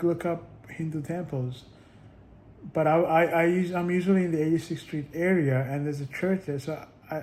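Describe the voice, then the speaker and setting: A man vlogging in a casual, rambling monologue, halting often with restarts and little chuckles. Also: on the high side, warm tone, slight background noise.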